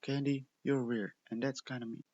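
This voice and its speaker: A man's voice: little pitch movement, normal speed, mellow, no echo, lightly acted. A man voicing a young male pegasus pony, a hurt best friend, in a subdued, resigned manner for a story. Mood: confused. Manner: low-key, subdued, resigned. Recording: dull lo-fi close recording.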